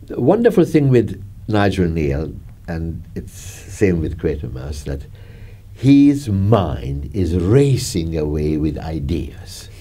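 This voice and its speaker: An older man with a Hungarian accent, seated in a dark studio, reminiscing with laughter and halting phrases as an interviewed director. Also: low-pitched, big pitch swings, husky. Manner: chatty, laughing, gesturing, halting. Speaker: film director.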